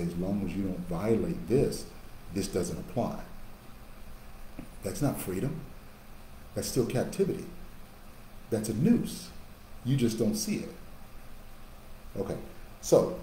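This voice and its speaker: A man in his fifties preaching a sermon through a webcam in a small office. His voice is low and warm, and the room adds a noticeable echo with a faint hum behind it. He builds to emphatic bursts and then drops back to quiet asides. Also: a little slow, long pauses. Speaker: pastor. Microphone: webcam on a video call.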